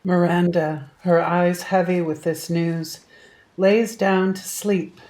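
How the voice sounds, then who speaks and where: A woman narrating a scripted audio drama in a deliberate storytelling manner. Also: lowish voice, fairly even intonation, a little mellow. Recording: remote recording with faint noise.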